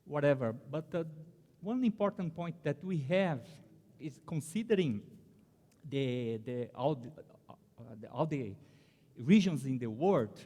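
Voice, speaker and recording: A man from Brazil, a native Portuguese speaker, giving a conference presentation in English with a Brazilian accent, halting with many fillers and restarts. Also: on the high side, darkish tone, long reverb tail. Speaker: conference panelist. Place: conference hall.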